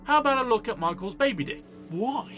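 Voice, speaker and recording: A man's voice: very high voice, lively intonation, brisk, over music. A man narrating a scripted comedic film review in a sarcastic, sweary manner. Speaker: film review video host.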